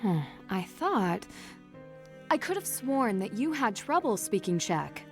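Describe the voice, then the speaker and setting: A woman voicing a curious young detective in a video game, asking questions in a brisk, polite way. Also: medium pitch, sing-song, faint music behind.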